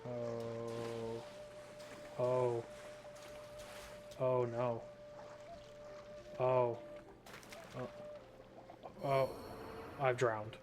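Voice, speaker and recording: A man's voice: medium pitch, completely flat delivery, drawn-out words, pauses often, over music. A man giving casual let's play commentary as he streams a game. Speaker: streamer, gameplay host.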